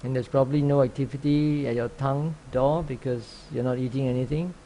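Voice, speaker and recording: Gravelly, mellow man guiding a meditation. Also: medium pitch, slight background noise, low-quality recording. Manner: calm, unhurried, with long pauses between short phrases. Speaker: meditation teacher, Buddhist monk. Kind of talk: guided meditation instruction.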